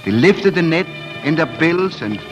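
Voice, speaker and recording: A man's voice: a little high, expressive, normal speed, rough recording. A man narrating a storybook tale in a lyrical, dramatic 1940s radio style. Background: music.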